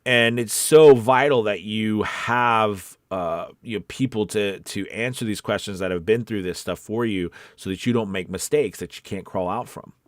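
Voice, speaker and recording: Deep-voiced American man hosting a livestream. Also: moderate pace, few pauses, no echo, clean studio sound. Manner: emphatic, repeats key points for encouragement. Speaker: host of a live stream coaching voice actors. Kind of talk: casual livestream chat reading out viewer comments.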